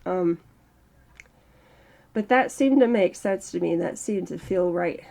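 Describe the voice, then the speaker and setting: Slow, gravelly-voiced woman in her thirties. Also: fairly low pitch, mellow. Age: early 30s. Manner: slow, halting, reflective. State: strained throat. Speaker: channel host. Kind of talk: personal mental health vlog monologue.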